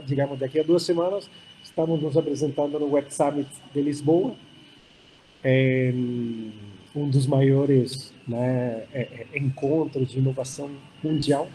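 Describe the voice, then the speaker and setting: Older Chilean-accented man, mellow voice. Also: on the high side, slight background noise, rough recording. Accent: Chilean Spanish. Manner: unhurried, many fillers and restarts. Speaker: startup founder panelist. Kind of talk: hybrid panel talk.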